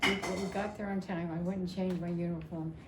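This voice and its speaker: Older woman, slightly low voice, chatty and halting personal storyteller, recorded with clear background noise. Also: little pitch movement, echoey room. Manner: halting, repeats phrases, chatty. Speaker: storyteller at a family memorial. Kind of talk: casual personal storytelling to a gathering.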